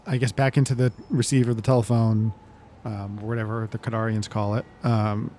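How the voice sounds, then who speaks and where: A man's voice: fairly low pitch, little pitch movement, brisk, dark tone, slight background noise. Man playing a tabletop game, thinking aloud. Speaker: player. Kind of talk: casual tabletop role-play, describing character's actions.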